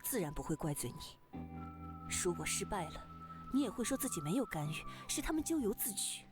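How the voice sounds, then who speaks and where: A woman's voice: fairly low pitch, big pitch swings, a little fast. An actress playing a wary, resolute young woman in a visual novel, earnest and accusing in manner. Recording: background music behind the voice.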